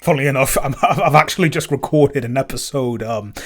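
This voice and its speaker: Halting man in casual podcast chat. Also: mid-range voice. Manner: halting, repeats words. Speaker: podcast co-host and composer.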